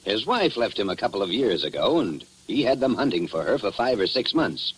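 An actor playing a gruff, suspicious and confrontational small-town official in old radio drama style. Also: male voice, on the low side, big pitch swings, a little fast. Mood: irritated, suspicious. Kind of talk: 1940s radio drama acting. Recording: noisy old radio broadcast recording.

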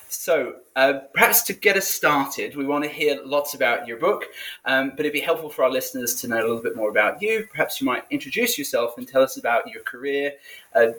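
Halting man hosting a book podcast. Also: mid-range voice, a bit bright, poor audio. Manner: halting, frequent hesitations. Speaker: podcast host and professor. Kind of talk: formal podcast introduction.

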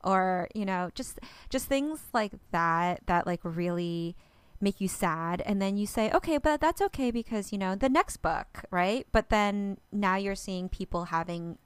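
A woman's voice: medium pitch, animated, a little slow, smooth, no echo. Woman co-host chatting loosely, dry close sound, lots of likes. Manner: chatty, laughs often, many fillers. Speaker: podcast co-host, author. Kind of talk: casual chat.

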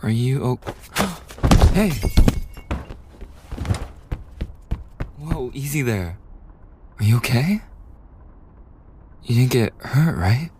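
A man playing a kind, polite young bartender in an ASMR roleplay, speaking softly and breathily in a courteous, soothing way with hesitant pauses. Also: mid-range voice, lively intonation, background noise. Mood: startled concern. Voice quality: soft, breathy. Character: kind, polite young male bartender, gently attentive university student. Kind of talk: ASMR roleplay, soft intimate scripted acting.

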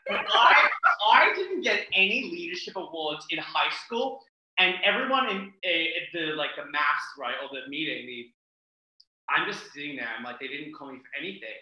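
Australian male podcast guest; sharp, emphatic casual talker with repetition for effect; strong room echo. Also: fairly high pitch, sing-song, normal speed. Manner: theatrical, emphatic, repeats words for effect. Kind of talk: casual chat, animated personal storytelling.

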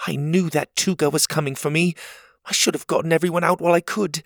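A man's voice: a little high, steady tone, quick pace, creaky, a bit bright, dry recording, clean studio sound, voice pushed up. A man voicing a brave, cocky young boy hero who talks proudly and boldly. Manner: proud, bold and boastful.

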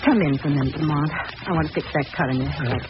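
A woman's voice: sits low, fast talker, natural voice. Actress playing a refined, devoted lady in vintage radio. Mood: fond, insistent. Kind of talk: 1940s radio drama acting. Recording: noisy, muffled old radio recording.

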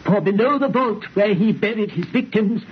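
An actor playing a solemn, superstitious preacher in an old radio horror play, speaking formally and pleadingly. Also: male voice, high voice, moderate pace, slightly rough. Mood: alarmed, urgent. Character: solemn, superstitious preacher fearful of an evil legend. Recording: dull, noisy old radio recording.

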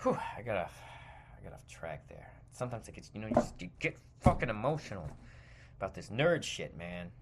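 Animated American man on a rant. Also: fairly low pitch, a little fast, heavy background noise. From United States. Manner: exasperated, foul-mouthed, emphatic, repeats himself. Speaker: podcast host. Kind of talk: stream-of-consciousness comedic rant.

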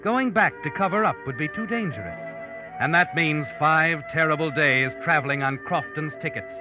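Man acting as knowing, ominous storyteller, old-time radio drama, second-person narration, slightly high male voice, deliberate, taunting, music behind. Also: lively intonation, close to an everyday voice. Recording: dull vintage radio recording, music behind.

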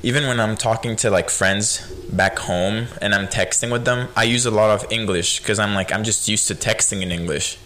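Young man, low voice, light Spanish accent. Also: moderate pace, few pauses, a little hiss or hum. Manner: relaxed, rambling with many fillers. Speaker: podcast host. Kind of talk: casual chat.

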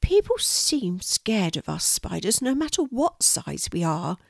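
A woman voicing a tiny, timid male spider in a children's storybook, gentle and sad, with a plaintive, tentative delivery. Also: fairly high pitch, very animated, unhurried, no room sound, studio quality, voice pushed up, full character voice. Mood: sad, puzzled.

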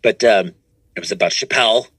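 A man talking casually as a comedian guest on a podcast, animated and often restarting his phrases. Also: fairly low pitch, brisk, breathy edge, no room sound. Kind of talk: casual conversational interview answers.

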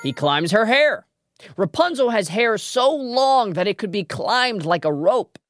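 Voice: mid-range voice, very animated, measured pace. A trivia show host presenting a scripted quiz in a playful way, with wry asides. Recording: music behind the voice.

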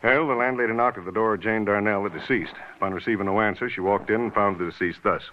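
A man's voice: on the low side, quick pace, close to an everyday voice. An actor playing an earnest, formal male police sergeant giving a report, in 1940s radio acting. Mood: dutiful. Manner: flat, formal report-reading. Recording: dull old radio broadcast, faint hiss.

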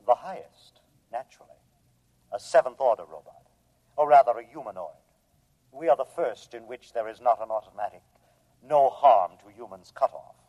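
An actor playing an arrogant humanoid robot from another planet in a 1950s radio drama, speaking formally and precisely with condescension. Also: male voice, mid-range voice, animated, normal speed, long pauses, lightly acted. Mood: proud, superior. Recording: dull vintage radio recording.

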